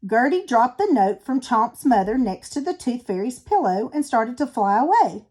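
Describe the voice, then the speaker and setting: A woman reading a children's storybook aloud as an expressive narrator. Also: fairly high pitch, moderate pace. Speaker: storybook reader.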